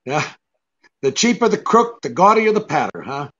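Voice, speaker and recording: An actor playing a cynical, tough American private detective in a 1940s-style radio drama, with a sardonic, clipped manner. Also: male voice, a little high, sing-song, moderate pace, pushed and bright, lo-fi sound. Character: cynical, wisecracking hard-boiled male private detective.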